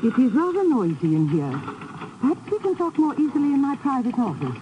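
A woman's voice: sits high, wildly swooping pitch, fairly quick, lo-fi sound, noisy background. A woman playing a formal, guarded director of an émigré radio station in a 1950s radio drama, speaking precisely. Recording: vintage 1950s radio recording.

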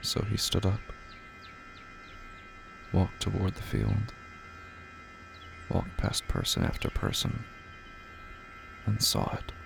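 A man reading scripted horror fiction as a narrator, in deliberate, dramatic phrases with pauses. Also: on the low side, flat delivery, creaky, over music.

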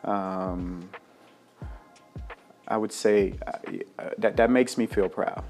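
A man from Bermuda and Jamaica, a cafe founder answering interview questions in a relaxed, hesitant way with many fillers. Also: lowish voice, slow pace, over music. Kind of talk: casual interview chat.

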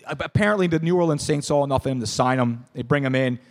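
A hoarse man hosting a casual sports talk show, excitable and rambling with lots of restarts. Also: mid-range voice, fast talker. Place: bar venue.

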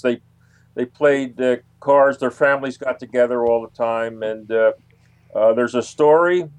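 Halting man telling anecdotes, mid-pitched. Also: a little mellow. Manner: halting, hesitant delivery with long pauses. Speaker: interview guest, investigator. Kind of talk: casual anecdotal interview talk.

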